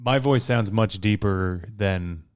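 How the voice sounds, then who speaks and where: A man's voice: lowish voice, slow pace, clear, resonant tone. A man guesting on a comedy podcast, self-deprecating and laughing often. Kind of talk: casual comedy podcast banter.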